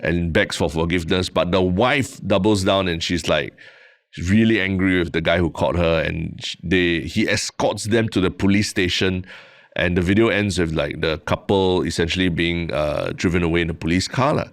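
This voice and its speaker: A man's voice: sits low, fairly even intonation, moderate pace, no echo, clean studio sound. A young Singaporean man co-hosting a podcast, telling a long story in a chatty way with lots of "lah" and restarts. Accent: Singaporean English. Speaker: podcast co-host. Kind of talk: casual chat.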